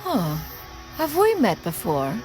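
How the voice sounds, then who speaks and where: A woman's voice: a little high, wildly swooping pitch, slow pace, over music, full character voice, rough recording. A woman voicing an elegant, aloof immortal in a video game, with formal, archaic phrasing and a dignified manner. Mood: intrigued, stately. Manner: formal, archaic, calls herself "one". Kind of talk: video game character voice acting.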